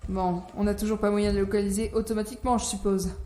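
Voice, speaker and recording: A woman's voice: medium pitch, flat delivery, quick pace, mellow, lightly acted. A woman playing a cold, ruthless superior officer, curt and composed, in an audio drama. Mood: cold authority. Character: cold, ruthless, authoritarian female commanding officer. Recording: roomy sound with faint music bed.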